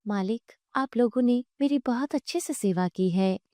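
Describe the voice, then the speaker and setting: Woman as magical mother cow, cartoon fable, devoted and reassuring, lilting higher voice, dry sound. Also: moderate pace, dark tone, dry recording, natural voice. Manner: reverent, reassuring, formal. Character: gentle, grateful magical talking cow, a new mother.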